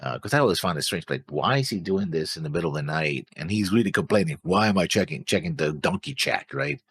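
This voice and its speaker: Male co-host, very low and husky, meandering thinker, dry close recording. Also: very animated, quick pace, a bit bright, no echo. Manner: thinks aloud, trails off. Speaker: reaction show co-host. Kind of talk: casual discussion.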